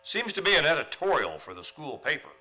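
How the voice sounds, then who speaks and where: A man's voice: fairly high pitch, huge pitch swings, brisk, pushed and bright, full character voice. A man playing an earnest, mild-mannered biology teacher in an old-time radio comedy. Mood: polite, puzzled.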